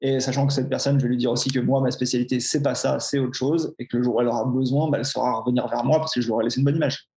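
Flat-voiced Swiss French man, echoey room. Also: mid-range voice, very fast, hardly any pauses. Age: 40s. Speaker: personal trainer, podcast guest. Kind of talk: casual interview chat.

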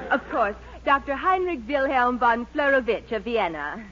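A woman playing an eager, gullible society lady patient in a gushing 1940s radio comedy style. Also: a little high. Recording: muffled, faintly noisy old radio recording.

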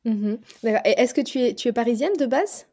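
Woman podcast host, mellow tone, attentive, short affirmations, halting questions. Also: on the high side, expressive. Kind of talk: interview.

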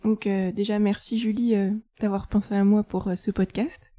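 A woman in her thirties from France, a guest on a podcast, talking through her life in a relaxed, chatty way with fillers. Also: mid-range voice, normal speed, no room sound, clean studio sound. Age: 30s. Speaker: guest, chartered accountant. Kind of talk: casual interview.

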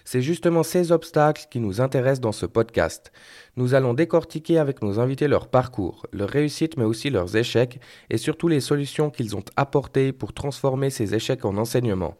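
A man hosting a podcast, reading a scripted intro and then asking a guest clear, structured questions. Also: medium pitch, animated, fairly quick, darkish tone. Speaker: podcast host. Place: startup incubator office.

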